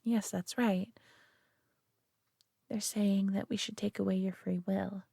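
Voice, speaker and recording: A woman's voice: mid-range voice, steady tone, slow, vocal fry, mellow, husky, no room sound, studio quality, full character voice. A woman playing a proud, possessive but caring superior alien being in a roleplay audio drama, speaking in a haughty, condescending yet tender manner. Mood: earnest, solemn.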